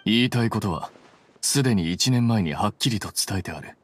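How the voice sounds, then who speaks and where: Man playing a calm, deep-voiced rational scholar. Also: a little hiss or hum, lightly acted. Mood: cool, composed. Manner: measured, detached, matter-of-fact explaining. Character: calm, coolly rational young male scholar. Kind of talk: Japanese video game voice acting.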